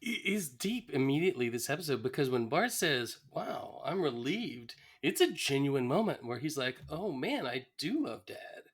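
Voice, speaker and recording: A man's voice: medium pitch, very animated, normal speed, hardly any pauses, slightly rough. A middle-aged man co-hosting a casual podcast chat. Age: 40s or older.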